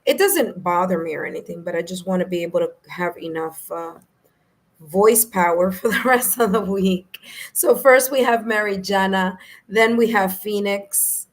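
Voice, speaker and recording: A woman with a New York accent and a slightly hoarse, raspy voice, hosting a live tarot stream in a friendly, chatty way. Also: medium pitch, normal speed. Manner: friendly, chatty, laughs easily. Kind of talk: casual live-stream chat, greeting viewers.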